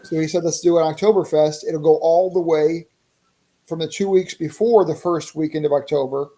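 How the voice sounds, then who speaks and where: A man's voice: a little high, steady tone, moderate pace, warm tone. A man talking casually as a guest on a podcast, enthusiastic and explanatory, often repeating his phrases. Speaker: interview guest, indie comic creator promoting a fighting game.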